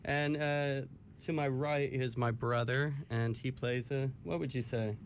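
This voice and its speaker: A man's voice: mid-range voice, fairly even intonation, a little slow, a little hiss or hum. A man speaking for his band in a casual radio interview, easygoing and agreeable. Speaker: band member and spokesman. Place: radio studio.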